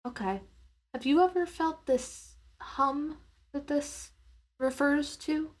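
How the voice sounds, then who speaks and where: A woman takes part in a tabletop game as a player. Her voice sits fairly high, and she speaks in a casual, conversational style as she asks questions and describes actions. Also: slow pace.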